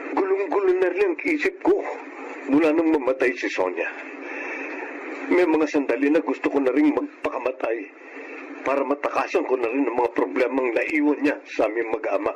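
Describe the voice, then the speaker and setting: A man's voice: medium pitch, normal speed, long pauses, muffled recording, slight background noise, lightly acted. A man playing a despondent, evasive widowed father in a Filipino melodrama, speaking with a heavy heart. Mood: anguished.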